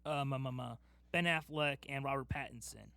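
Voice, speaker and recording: A man's voice: medium pitch, normal speed, gravelly, bright, forceful tone, no echo. A man co-hosting a casual fan podcast, talking in an emphatic, enthusiastic way and repeating himself for stress. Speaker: podcast host.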